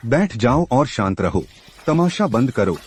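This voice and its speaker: A man's voice: mid-range voice, big pitch swings, unhurried, husky. A man dubbing a father who speaks in a curt, commanding way.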